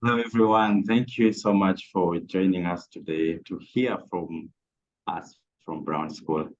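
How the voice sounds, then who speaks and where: A man's voice: sits low, fairly even intonation, a little slow, highs cut off. A man from Uganda introducing himself as a public health student, formal and grateful. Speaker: graduate student panelist.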